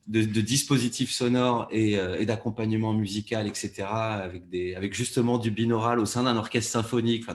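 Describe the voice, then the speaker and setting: A man's voice: on the low side, echoey room. A man speaking as a producer in a panel discussion, explaining things spontaneously and often repeating words as he thinks. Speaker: panelist, fiction podcast producer.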